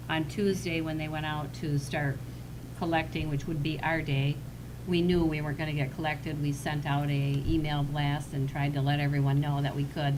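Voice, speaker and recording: Low, flat-voiced woman administrator. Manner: explanatory, matter-of-fact. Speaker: village administrator. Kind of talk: giving an update at a meeting. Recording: noisy meeting room audio.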